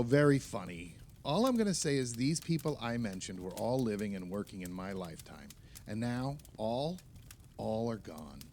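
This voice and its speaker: A man's voice: medium pitch, darkish tone, slight background noise. An actor playing a fretful, self-doubting older male novelist in a naturalistic audio play, hesitant and prone to rambling complaint.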